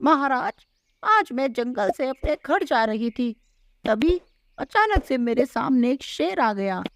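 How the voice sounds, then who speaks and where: Woman playing a high, swooping, complaining cow. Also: sing-song, slow, no echo, heavily acted. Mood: frightened, pleading. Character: female cow, anxious, gullible, easily offended. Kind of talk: children's moral story cartoon dub.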